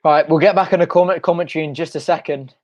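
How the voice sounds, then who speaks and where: A young man hosting a live football watch-along from home, excitable and shouting, his voice going hoarse. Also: on the high side, fast talker. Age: young adult. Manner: excitable, shouting and chanting at goals. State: losing his voice. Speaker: fan channel host. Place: home front room.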